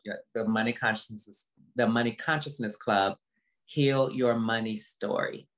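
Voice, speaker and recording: A woman with a low voice talks reflectively and emphatically as an interview guest on a video call, repeating phrases for effect. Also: a little slow. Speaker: interview guest, motivational speaker. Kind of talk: reflective personal talk in an interview.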